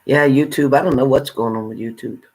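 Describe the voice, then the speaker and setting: A man with a mid-pitched voice hosts an informal live stream. He talks casually and directly to the people watching, in an everyday conversational way. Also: a little fast, creaky. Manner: informal, addresses viewers by name. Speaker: livestream host. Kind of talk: casual live chat with viewers.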